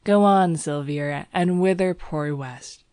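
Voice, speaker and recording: A woman's voice: on the low side, unhurried, a little mellow, dry recording, studio quality, natural voice. A woman voicing a cheerful young male artist in an audiobook story, breezy and reassuring, playing down danger. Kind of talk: audiobook character dialogue.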